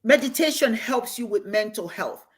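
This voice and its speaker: A woman's voice: on the high side, lively intonation, normal speed, slightly rough. An older woman, an Igbo speaker, teaching meditation on a livestream in an earnest way and repeating key phrases for emphasis. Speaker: host and spiritual teacher.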